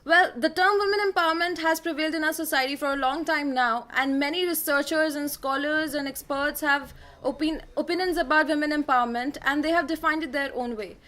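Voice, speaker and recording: A woman's voice: high-pitched, fairly even intonation, a little fast, hardly any pauses, bright, forceful tone. A young woman from India with an Indian English accent, hosting a student talk show with scripted introductions and questions peppered with "you know". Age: young adult.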